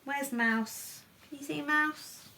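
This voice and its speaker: A woman reading a picture book to children in an animated, playful way, with chatty asides and questions to her listeners. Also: sits high, slow pace. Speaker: children's storybook reader.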